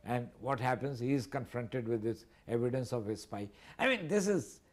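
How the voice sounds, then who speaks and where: A man, speaking as a guest analyst on a TV panel in a measured, hesitant way with many fillers. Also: medium pitch, lively intonation. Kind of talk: TV panel discussion.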